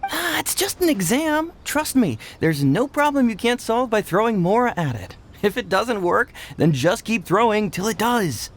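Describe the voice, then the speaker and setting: A breezy, boastful young man in a video game. His pitch swings widely as he talks like an emphatic salesman, with faint noise behind him. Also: big pitch swings, normal speed, hardly any pauses. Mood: cocky. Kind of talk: English video game voice acting. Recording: game capture with faint background ambience.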